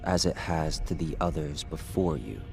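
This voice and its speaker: An actor playing a calm, enigmatic supernatural being from a video game, speaking in a measured, solemn, cryptic way. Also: male voice, rumbling low, vocal fry, heavily acted. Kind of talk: scripted video game monologue. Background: music.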